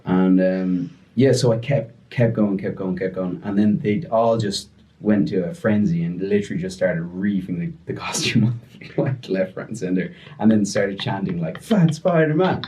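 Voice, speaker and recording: Lively man telling a story. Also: low voice, moderate pace. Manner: animated, puts on voices while retelling. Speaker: podcast guest. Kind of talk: casual storytelling.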